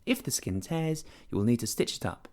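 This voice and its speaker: An exasperated mother as a man puts her on for a short story, raised in pitch. She is precise and tight, given to muttering. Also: big pitch swings, a little fast, dark tone. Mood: reciting.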